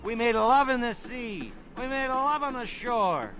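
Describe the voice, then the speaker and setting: A man's voice: extremely high pitch, sing-song, unhurried, fairly bright tone, exaggerated voice. An actor playing a drunken elf man singing a bawdy tavern ditty in a fantasy game. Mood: tipsy, bawdy. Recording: game audio with clear background noise.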